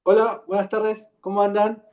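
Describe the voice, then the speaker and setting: A man with a Rioplatense Spanish accent, hosting an interview and asking curious, relaxed questions. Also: high-pitched, normal speed. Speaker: interview host. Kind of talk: interview conversation.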